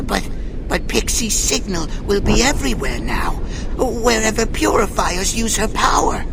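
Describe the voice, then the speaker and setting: An actor playing a wretched, drained, loyal mutant in a video game, halting and creaky. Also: mid-range voice, big pitch swings. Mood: anguished. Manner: halting, speaks of self in third person. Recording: clear background noise.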